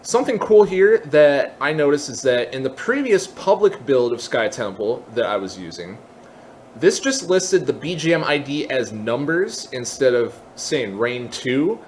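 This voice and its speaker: A man with a medium-pitched voice leads a tutorial in an informal, explanatory way. He thinks aloud as he moves step by step through the software. Some background noise sits under his voice. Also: big pitch swings, unhurried. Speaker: tutorial presenter.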